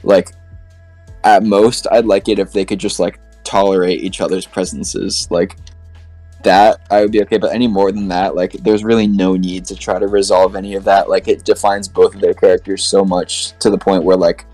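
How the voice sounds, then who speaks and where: A man's voice: low voice, little pitch movement, normal speed, darkish tone, no room sound, soft background music, low-quality recording. A man chatting casually as a podcast panelist, rambling and opinionated about fan topics.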